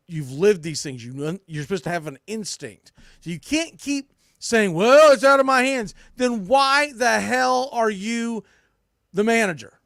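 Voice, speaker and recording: An American man with a fairly high voice hosts a sports podcast in a dry, close-sounding space. He delivers opinionated baseball commentary bluntly and emphatically, with some salty language. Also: a bit bright, no echo. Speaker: sports podcast host and play-by-play broadcaster.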